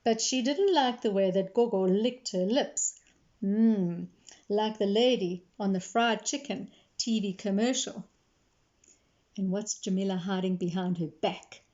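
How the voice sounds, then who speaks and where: Mellow woman reading to children. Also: medium pitch, measured pace, highs cut off. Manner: explains words simply for young listeners. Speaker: storyteller reading aloud to children. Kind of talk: expressive read-aloud narration.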